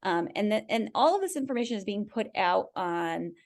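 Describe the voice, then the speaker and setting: A woman's voice: medium pitch, expressive, normal speed. Woman explaining as an interview guest. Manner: explanatory, often checks with "right?". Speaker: expert podcast guest, clinical officer.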